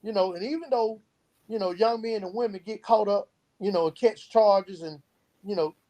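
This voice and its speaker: A man with a mellow, relaxed tone talks as an interview guest. He makes his points with earnest, emphatic conviction, often explaining through stories and examples. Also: sits high, normal speed, poor audio. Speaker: guest, youth program founder. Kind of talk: earnest interview talk with storytelling.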